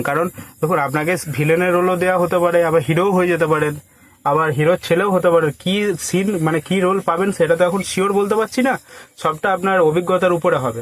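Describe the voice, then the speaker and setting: A man talking casually and persuasively on a prank call, coaxing and giving instructions like a casting agent. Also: a little high. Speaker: prank caller posing as a film casting agent.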